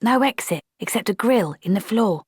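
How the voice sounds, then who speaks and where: A woman's voice: medium pitch, lively intonation, normal speed, breathy edge, no room sound, clean studio sound, voice pushed up. A woman doing a blunt, grim young soldier.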